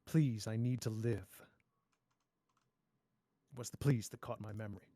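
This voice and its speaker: A man's voice: fairly low pitch, a little slow, dark tone, dry recording. A man playing a cool, cynical masked pirate with mocking, polite menace in a fairy-tale table read. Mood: cynical, taunting. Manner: sardonic, drawling taunts with polite menace.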